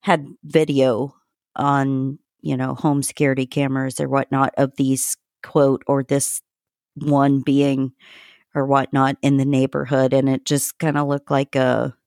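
A woman's voice: a bass voice, level tone, unhurried, a little mellow, no room sound, clean studio sound. A woman hosting a casual podcast, chatty and giggly, swearing now and then. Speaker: podcast host.

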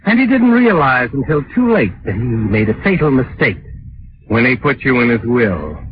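An actor playing an ominous, all-knowing narrator who sardonically addresses the culprit in a 1940s radio mystery. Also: male voice, medium pitch, noisy background, close to an everyday voice. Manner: sardonic, addresses the character as "you". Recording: muffled vintage radio recording with music.

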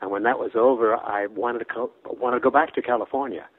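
A man in his nineties from Brooklyn, a guest telling long, rambling anecdotes that trail off softly, with restarts and chuckles. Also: mid-range voice, steady tone, a little fast, slightly rough. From Brooklyn, New York. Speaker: interview guest. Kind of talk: casual storytelling interview. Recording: phone-like narrow band.

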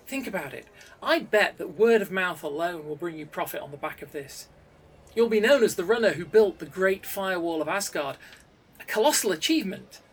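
A man voicing a smooth-talking male schemer with a soothing, calming manner, recorded a bit distant from the mic. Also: sits high, voice pushed up.

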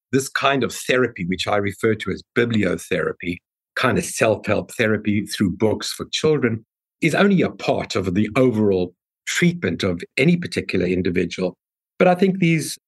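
Husky South African man. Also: lowish voice. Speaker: podcast guest, developmental pediatrician. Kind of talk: explanatory interview talk.

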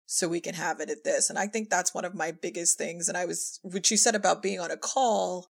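Low-pitched woman with vocal fry. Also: fairly even intonation, a little fast. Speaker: audio drama director and podcast guest. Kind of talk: casual conversational interview.

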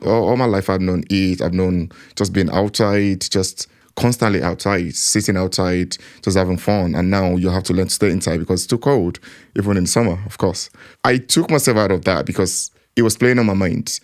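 A man's voice: sits low, normal speed. A man giving a personal account in an interview, reflective and acting out his own inner voice.

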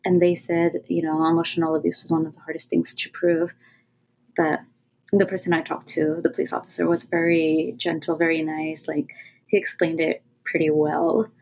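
A woman from California, a guest on a podcast, talking candidly and casually. She often stops with ums and restarts her sentences, and her voice sits fairly low. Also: flat delivery, normal speed, a little mellow, low-quality recording. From California, USA. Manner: talks openly with many ums and restarts, searching for words. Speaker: guest author. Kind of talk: casual interview talk.

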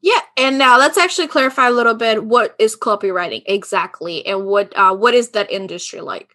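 A woman's voice: fairly high pitch, a little fast, pushed and bright. A woman from Albania hosting an interview podcast, chatty and bubbly, laughing often. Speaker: podcast host. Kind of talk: upbeat interview chat.

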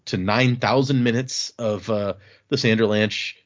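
A man hosting a podcast, chatting casually and reading listener emails aloud in a relaxed, halting way. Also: lowish voice, dull audio. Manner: relaxed, halting, with restarts and fillers. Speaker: podcast host.

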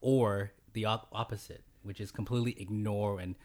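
A man's voice: on the low side, steady tone, normal speed. A man hosting a podcast, introducing and interviewing a guest with polite, hesitant phrasing full of fillers. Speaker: podcast host.

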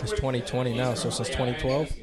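A man's voice: mid-range voice, steady tone, fast. Laid-back male host, casual and profane, crowd noise behind. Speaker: interviewer. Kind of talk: casual live interview. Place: crowded live music pub. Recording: noisy crowded pub. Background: crowd chatter.

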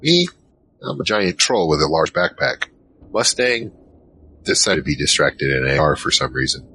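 Man playing a very deep-voiced giant troll narrator. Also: big pitch swings, normal speed, pushed and bright, slight background noise, exaggerated voice. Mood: recounting, uneasy. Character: giant troll, worried, soft-hearted first-person narrator. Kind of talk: audio drama narration and dialogue. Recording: music behind.

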